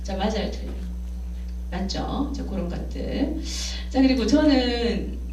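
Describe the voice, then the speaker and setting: A woman from Gyeongsang province with a Gyeongsang accent, giving a workshop lecture on art therapy and quizzing the audience with quick questions. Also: on the high side, expressive, dark tone. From Gyeongsang province, South Korea. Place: workshop room. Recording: echoey room, distant, humming noise.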